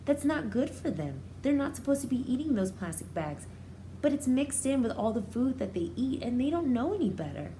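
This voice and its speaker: Expressive woman reading to young children, lively pitch. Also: fairly quick, a little hiss or hum, rough recording. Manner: expressive, simplifies and repeats for young listeners. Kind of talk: children's storybook read-aloud with explanatory asides.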